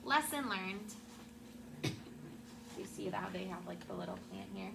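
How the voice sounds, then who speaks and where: A woman teacher reading a picture book aloud to her class in an expressive storytelling way, with asides to the children. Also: mid-range voice, slow pace. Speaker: elementary school teacher. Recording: clearly noisy classroom recording.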